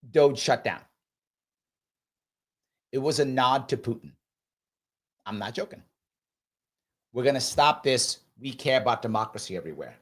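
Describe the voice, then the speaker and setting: A man hosting a live talk show, reading viewer comments aloud and arguing his points emphatically, often tagging "right?" onto his sentences. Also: medium pitch. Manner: emphatic, conversational, repeats himself, frequent "right?". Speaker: host.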